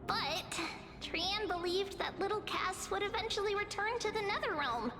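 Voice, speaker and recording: Very high, childlike little girl. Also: lively intonation, normal speed, big-room sound. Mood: gentle, earnest. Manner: refers to self by own name, sweet, childish phrasing. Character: cheerful, childlike little girl spirit speaking in third person. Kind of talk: anime-style video game voice acting. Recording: game music behind the voice.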